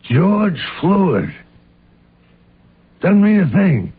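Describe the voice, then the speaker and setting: Husky, breezy, higher-voiced police inspector. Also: male voice, very animated, very slow, full character voice. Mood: dismissive, cynical. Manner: chummy, slangy, offhand. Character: breezy, dismissive male police inspector, folksy and cynical. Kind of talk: radio drama acting. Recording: muffled lo-fi radio drama recording.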